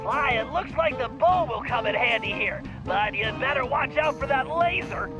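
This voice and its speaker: An actor playing a nerdy, earnest cartoon turtle mastermind in a video game, nasal and anxious, with precise, wordy delivery. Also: male voice, very high voice, animated, normal speed, music in the background. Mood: earnest, commanding. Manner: precise, wordy, fussy briefing.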